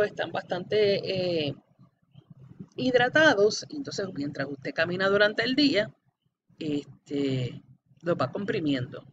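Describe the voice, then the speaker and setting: A woman giving an explanatory anatomy lecture in a didactic way, addressing the student directly and often checking for understanding. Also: medium pitch. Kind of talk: explanatory classroom-style lecture. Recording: dull lo-fi audio with background noise.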